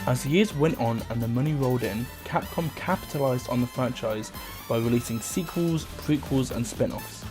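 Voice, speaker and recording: A man's voice: medium pitch, moderate pace. A man hosting a video essay, reading scripted documentary-style narration. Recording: music under the voice. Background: background music.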